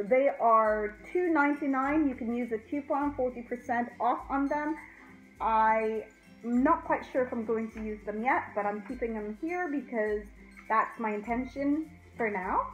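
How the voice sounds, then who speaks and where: Chatty high-voiced woman in an echoey room. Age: middle-aged. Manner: chatty, informal, addresses viewers directly. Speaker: host of a craft channel. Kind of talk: casual DIY tutorial chat. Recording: roomy echo, faint music.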